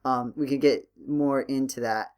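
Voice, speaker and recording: A man talking casually on a podcast about films, halting and rephrasing his thoughts as he works through them. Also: mid-range voice, fairly even intonation, darkish tone, clean tone. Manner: halting and rambling, rephrasing as he goes. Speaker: podcast co-host discussing films.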